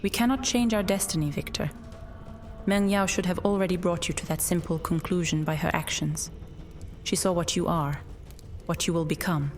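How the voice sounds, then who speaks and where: Woman doing an ancient motherly witch, earnest formal warnings, fantasy roleplay audio, slightly low pitch, bit of music behind. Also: moderate pace, close to an everyday voice. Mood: grave, accusing. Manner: earnest, portentous, formal phrasing. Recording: music under the voice.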